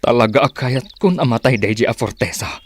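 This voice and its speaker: A man's voice: medium pitch, brisk, a little hiss or hum, close to an everyday voice. A man playing a vengeful fighter plotting a killing, in a radio drama style. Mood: grim.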